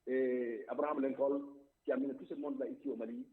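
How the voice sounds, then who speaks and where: A man's voice: mid-range voice, sing-song, fast, gravelly. A man calls in to a political radio discussion and speaks his mind plainly and emphatically. His voice sits in an ordinary middle register and comes through a thin, tinny phone line. He sometimes circles back over his own words while he finds the next point. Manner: outspoken, emphatic, repeats words while thinking. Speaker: diaspora representative guest.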